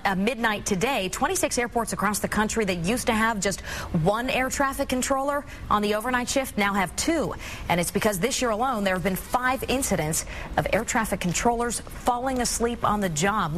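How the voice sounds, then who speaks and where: A woman's voice: medium pitch, a bit bright, slight background noise. A woman working as a television news anchor, presenting scripted news and putting questions to guests.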